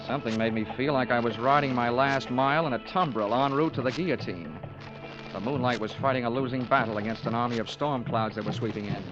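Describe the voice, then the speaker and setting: An actor playing a wry, tough insurance investigator in old radio detective style, sardonic and clipped. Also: male voice, medium pitch, fast, natural voice. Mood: wry narration. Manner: sardonic, clipped hard-boiled delivery. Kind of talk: 1950s radio detective narration and dialogue. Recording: dull old radio recording, music behind.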